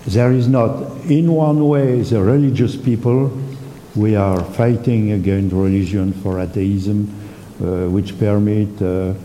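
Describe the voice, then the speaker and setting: A man with a very dark, low-set voice speaks slowly and haltingly, restarting his sentences and filling gaps with "uh." He comments as a panelist. His voice echoes around a large hall, with a faint hiss underneath. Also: fairly even intonation. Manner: halting, heavy with fillers and restarts. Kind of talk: hesitant spontaneous remarks. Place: conference hall.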